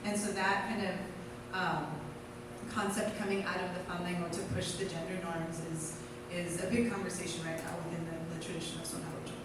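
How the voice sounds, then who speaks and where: A woman's voice: fairly low pitch, little pitch movement, a little fast. Woman panelist in a reverberant hall. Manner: explanatory, with many restarts and fillers. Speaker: panelist, dancer. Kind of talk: live panel discussion. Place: theater stage. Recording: echoey hall, distant mic, noise.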